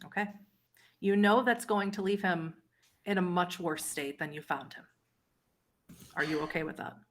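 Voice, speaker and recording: A woman running a tabletop horror roleplay as game master, narrating scenes in a descriptive, pausing storyteller way. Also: on the low side.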